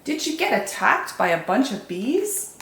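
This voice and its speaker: A woman's voice: a little high, very animated, measured pace, creaky, a bit bright, recorded from a distance, full character voice. Woman voicing a smug, teasing pumpkin, roomy sound. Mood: mocking. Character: smug, teasing young pumpkins mocking another.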